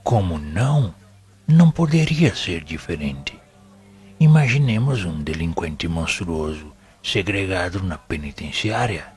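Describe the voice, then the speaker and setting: Actor as a deep-voiced, venerable Brazilian spirit mentor. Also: male voice, animated. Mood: solemn, instructive. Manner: didactic, formal, measured. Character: venerable male spirit mentor, wise, solemn, patient. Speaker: audio drama actor. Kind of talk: solemn scripted spiritist teaching in an audio novel.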